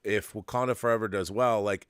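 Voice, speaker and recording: Male talk host, lower register, halting casual fan chatter, close dry sound. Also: fairly even intonation, a bit bright, smooth, dry recording. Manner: halting, repeats and restarts phrases.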